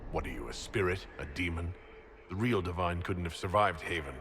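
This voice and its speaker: A resolute male hero in a fantasy game with a deep voice. He is direct and sceptical, with faint ambience behind him. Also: a little fast, noisy background. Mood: suspicious. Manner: direct, questioning.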